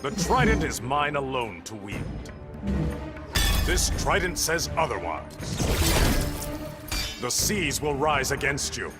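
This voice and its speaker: Undersea warrior king, mid-pitched, animated range, regal, stern, commanding, video game drama, loud music behind. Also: takes it slowly, long pauses.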